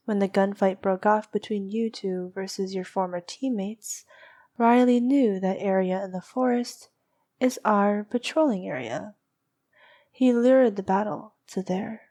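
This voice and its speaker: A woman playing a noble, haughty but needy vampire princess in an intimate ASMR roleplay, speaking softly with a regal, teasing manner. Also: mid-range voice, lightly acted. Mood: composed, serious. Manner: soft, regal, teasing, sometimes dropping to a whisper.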